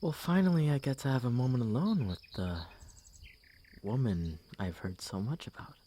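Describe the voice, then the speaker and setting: A man playing a smug, condescending young prince with a pompous, smooth manner in an ASMR fantasy audio roleplay. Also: medium pitch, moderate pace, slight background noise, natural voice. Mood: flattering, smug. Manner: smooth, pompous, theatrically expressive.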